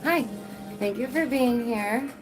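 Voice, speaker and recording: A woman with a lower voice hosting her channel, chatting casually to the camera, bubbly and laughing often. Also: slow, music in the background, poor audio. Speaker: channel host.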